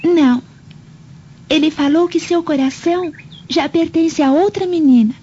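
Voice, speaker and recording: A woman's voice: high voice, a little slow. A woman playing an earnest, girlish young daughter from ancient Rome in a radio drama. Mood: dejected. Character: young Roman girl, eager, lovestruck daughter. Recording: dull lo-fi audio, faint background noise.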